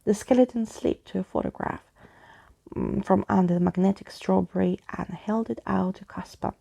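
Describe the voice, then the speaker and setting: A woman narrates a story in a soft-spoken ASMR style. Her tone is relaxed and mellow. A noticeable creak runs through her voice. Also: mid-range voice, normal speed.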